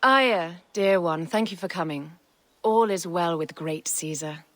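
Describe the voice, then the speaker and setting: A woman's voice: fairly low pitch, no room sound, close to an everyday voice. An actress playing a gracious queen in a video game, confiding and affectionate, taking time over each phrase. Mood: gracious, uneasy. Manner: unhurried, affectionate. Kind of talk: dramatic video game acting.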